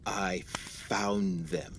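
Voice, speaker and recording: A man acting the part of a secretive, intense male explorer in a radio play, speaking in a theatrical, halting, emphatic way. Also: low voice, flat delivery, fairly bright tone, full character voice. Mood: haunted, hushed. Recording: echoey hall-like reverb.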